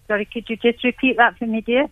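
A woman's voice: a little high, steady tone, quick pace, dry recording. Lady psychic on a phone line, motherly, calls ppl dear, dry tinny sound. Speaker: psychic medium guest. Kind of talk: call-in reading over the phone.

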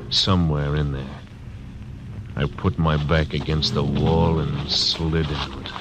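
Terse frontier marshal, very deep voice, music behind, old radio broadcast. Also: male voice, fairly even intonation, takes it slowly. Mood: grim. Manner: terse, grim first-person narration. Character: hard-bitten frontier marshal narrating. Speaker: lead actor and narrator. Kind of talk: 1940s radio acting.

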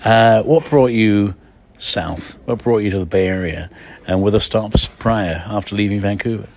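A man's voice: on the low side, moderate pace, gravelly. A man hosting a radio food interview, effusive, chatty and theatrical. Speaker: radio food show host.

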